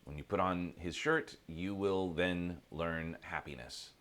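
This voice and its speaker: A man voicing a wise old village sage in a told fable, patient, measured and instructive. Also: sits low, fairly even intonation, fairly bright tone, voice pushed down.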